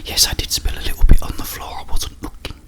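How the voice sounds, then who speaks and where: Whispering British man, ASMR style. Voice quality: breathy unvoiced whisper. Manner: casual, chatty, rambling with slow pauses. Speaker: ASMR video host. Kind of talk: ASMR whispered eating ramble.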